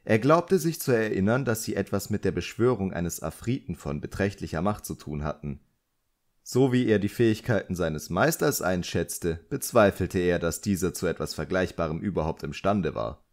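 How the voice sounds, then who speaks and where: Deep-voiced male audiobook narrator. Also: animated, normal speed, a little mellow. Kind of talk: scripted literary narration.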